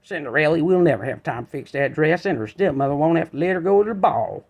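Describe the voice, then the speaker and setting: A man's voice: a little high, animated, fast talker, darkish tone, muffled recording. A man voicing a thin, indignant but sympathetic mouse in a children's storybook.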